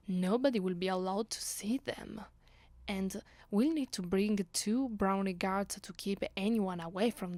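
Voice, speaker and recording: Woman acting vampire noblewoman, Italian accent, teasing, imperious, leisurely pace, mid-pitched, very dry intimate roleplay audio. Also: darkish tone, no room sound, lightly acted. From Italy. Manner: imperious, playful, unhurried, breathing into whispers. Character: commanding, teasing, seductive aristocratic female vampire. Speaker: voice actress. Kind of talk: ASMR roleplay.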